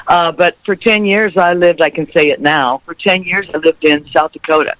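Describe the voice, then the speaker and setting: Laughing musician guest, dry close sound. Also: male voice, on the high side, steady tone, moderate pace, dry recording, rough recording. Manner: rambling, laughs often, warm storytelling. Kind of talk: interview chat.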